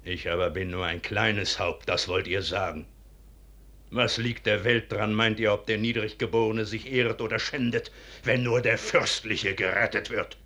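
Proud, forceful, sharp-voiced officer. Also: male voice, on the low side, steady tone, normal speed. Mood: bitter, resolute. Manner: declamatory, stern, clipped stage diction. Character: proud, resentful, unyielding low-born military officer. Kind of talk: 1950s German radio drama acting.

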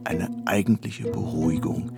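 A man's voice: low-pitched, normal speed, creaky. A man playing a musing, pompous older poet in a radio play, sometimes murmuring to himself. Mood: solemn musing. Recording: music under the voice.

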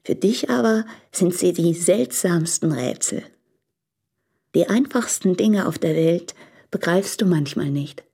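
A frank, anguished married woman in a literary radio drama. Her voice is low and husky. She speaks earnestly and deliberately, as if confiding in the man she loves. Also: animated, dark tone, full character voice. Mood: reflective, explaining.

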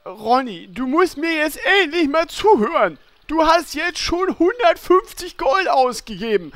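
Very high, exasperated, nagging sidekick. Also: female voice, sing-song, a little slow, dry recording. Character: exasperated, nagging companion of a paladin. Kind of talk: comedy fantasy audio drama acting.

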